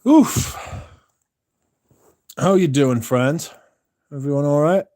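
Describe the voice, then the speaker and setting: A man's voice: fairly high pitch, slow, dark tone. A man talks into his phone on a casual livestream, sounding tired. He rambles, swears freely and often starts sentences over. The recording is dry and close, with no room echo. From Britain. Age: 30s. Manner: rambling, sweary, frequent restarts. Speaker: streamer.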